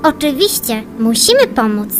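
A woman's voice: high voice, sing-song, moderate pace, background noise. A woman playing a sweet, innocent little child in a children's audio play, with music behind the voice. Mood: eager. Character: sweet little child who dotes on teddy bear.

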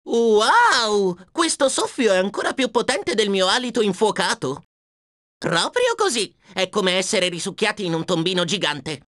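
A woman's voice: mid-range voice, sing-song, measured pace, fairly bright tone, no echo. A woman voicing a plucky, cheeky young male dragon hero in a video game, self-assured and wisecracking, close on a dry studio mic. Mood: excited. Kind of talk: video game character acting. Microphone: close studio microphone, dry.

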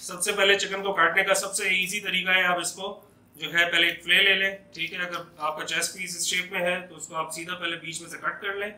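A man with a high, bright voice walks through a recipe step by step, patiently explaining each cut. He talks while chopping in a home kitchen, and the room sounds echoey. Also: little pitch movement, a little fast. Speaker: home cooking channel host. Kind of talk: step-by-step cooking demonstration.

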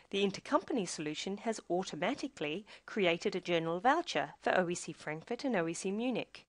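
A woman reading a scripted software tutorial, explaining each step in a measured, instructional way. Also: lowish voice, dry recording, studio quality.

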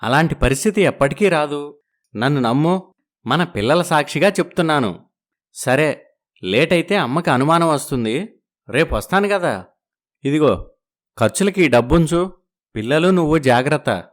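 A man's voice: a little high, animated, normal speed, long pauses, natural voice. A man voicing a young husband who soothes his wife and dodges trouble in a storybook drama. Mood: evasive. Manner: soothing, evasive. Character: young husband torn between wives, evasive.

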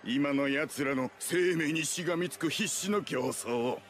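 A man's voice: a little high, fairly quick, fairly bright tone, a little hiss or hum, lightly acted. A man playing a sadistic, gleefully curious villain in a Japanese anime. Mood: sadistic glee. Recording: music behind the voice.

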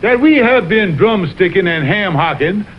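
An actor voicing a blustering, scheming Southern fox colonel in an old TV cartoon, with pompous, flowery talk and a Southern US accent. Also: male voice, sits high, animated, moderate pace. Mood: pompous, grand. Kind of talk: 1960s TV cartoon acting. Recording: muffled, noisy old television soundtrack.

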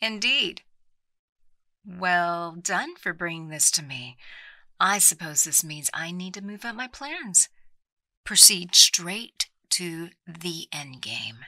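A woman playing a scheming villain empress posing as a smooth businesswoman, smug and condescending, in an audio drama. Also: fairly low pitch, lively intonation, takes it slowly, bright, forceful tone, no echo, heavily acted. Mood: quietly menacing.

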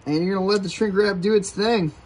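A man's voice: high-pitched, fast talker, darkish tone, poor audio. A man in his thirties giving a casual step-by-step how-to demonstration outdoors on a porch, talking while he works with his hands, picked up by a camera mic a few feet away. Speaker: kayak fishing channel host.

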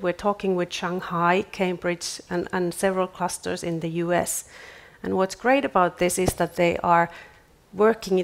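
Woman in her forties, conference stage pitch, measured delivery, clip mic. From Finland. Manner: measured, repeats words at restarts. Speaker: startup accelerator CEO.

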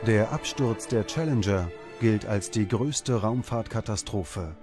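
A man's voice: fairly low pitch, a little slow. Male documentary narrator over music. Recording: music under the voice. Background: background music.

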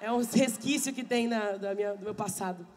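A middle-aged woman singer, hoarse from many shows, chatting fast and laughing with a live audience through a handheld mic. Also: mid-range voice, darkish tone, a faint crowd. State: hoarse, losing her voice. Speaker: samba singer and show host. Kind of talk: chatty stage talk between songs at a live show. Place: bar stage with a live audience, indoors. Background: live samba band.

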